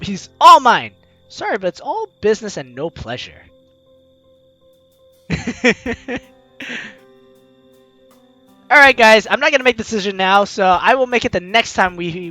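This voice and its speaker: A playful game streamer with a bright voice and very lively pitch. The delivery is teasing and full of exclamation, moving between reading aloud and commentary. It is recorded very dry, with faint music behind. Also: dry recording. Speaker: let's-play video host.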